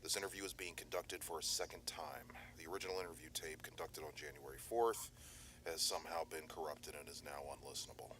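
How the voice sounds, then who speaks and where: A man playing a calm, methodical male private detective in an analog horror audio drama, speaking in a measured, formal, reassuring way. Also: rumbling low, slight background noise, rough recording.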